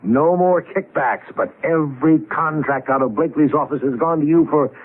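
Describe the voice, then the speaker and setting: An actor playing a disillusioned, sardonic older business partner in 1970s radio drama, scornful and emphatic. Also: male voice, fairly high pitch, moderate pace, natural voice. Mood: accusing. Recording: muffled vintage radio broadcast.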